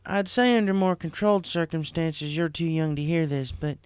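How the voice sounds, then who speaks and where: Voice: mid-range voice, normal speed, a little mellow, smooth, no room sound, voice pushed up, close to an everyday voice. A performer voicing an earnest, troubled young female farm pony in a story reading. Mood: frank, reassuring.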